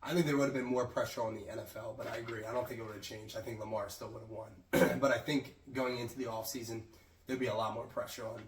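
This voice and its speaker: A man hosting a casual sports chat, raspy and hoarse from a cold, chatty and prone to restarts. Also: mid-range voice, steady tone, quick pace, echoey room, distant mic, poor audio. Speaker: host.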